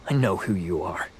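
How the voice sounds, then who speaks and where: A man's voice: low voice, slight background noise, full character voice. A man voicing a bitter, brooding blue oni rival in a video game, with a taunting, sardonic delivery. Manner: taunting, rhetorical. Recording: soft game music behind.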